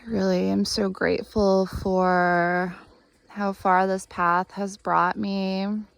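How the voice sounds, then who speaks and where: A woman in her thirties leading a guided meditation outdoors, speaking gently and earnestly with long pauses. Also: mid-range voice, vocal fry, no echo. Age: 30s. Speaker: guided meditation host, healer. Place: outdoors in the woods by a spring.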